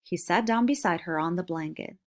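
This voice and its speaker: A woman's voice: on the low side, brisk. A woman reading a children's novel aloud as a storybook narrator.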